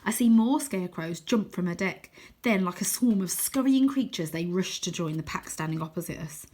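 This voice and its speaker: A woman reading a children's storybook aloud in an expressive, dramatic way, sometimes hushing her voice for suspense. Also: lowish voice, a little hiss or hum. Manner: dramatic, deliberate, dropping to hushed tones for suspense.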